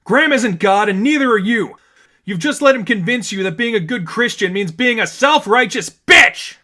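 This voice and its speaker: A man's voice: sits high, expressive, normal speed, pushed and bright, pitched above the performer's own voice. A man voicing an angry, argumentative young woman, an impassioned and condescending friend, in a dramatized story reading. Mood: furious. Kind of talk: dramatized fan fiction reading.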